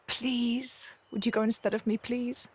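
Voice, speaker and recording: A woman's voice: a little high, little pitch movement, unhurried, husky. An actress playing an informal, earnest young woman adventurer in a game cutscene. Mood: pleading. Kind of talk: video game cutscene acting.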